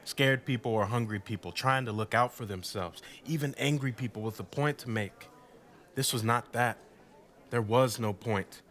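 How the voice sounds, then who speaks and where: A man's voice: mid-range voice, moderate pace, fairly bright tone, natural voice. An actor playing a wry, self-deprecating husband being interviewed in a radio drama, telling his story in a sardonic, conversational way. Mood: scornful. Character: wry, indignant husband recounting blackout night, interviewee.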